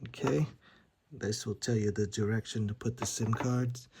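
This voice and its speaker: A man's voice: fairly low pitch, fairly even intonation, moderate pace, noisy background. A man giving a casual, hands-on gear demonstration, informal and a little hesitant as he thinks aloud. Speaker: gear reviewer. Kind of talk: casual unboxing and setup demonstration.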